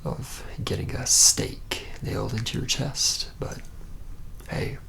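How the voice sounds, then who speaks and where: A man playing a reluctant, jaded young male vampire in a whispered ASMR roleplay, speaking haltingly with a wry, deadpan manner. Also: medium pitch, a little hiss or hum, heavily acted. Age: young adult. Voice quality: breathy whisper with gravelly creak.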